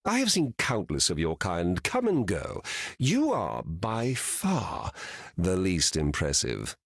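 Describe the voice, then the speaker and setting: A man's voice: low-pitched, huge pitch swings, slow pace, breathy edge, no room sound, clean studio sound, heavily acted. An actor playing an arrogant, ancient male trickster god in a video game, scornful and theatrical.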